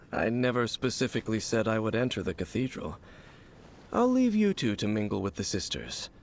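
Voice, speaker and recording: An actor playing an aloof, skeptical male wanderer in a video game, measured, cool and wry in delivery. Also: lowish voice, sing-song, noisy background. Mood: wry, detached. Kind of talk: video game character acting. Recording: game dialogue over music.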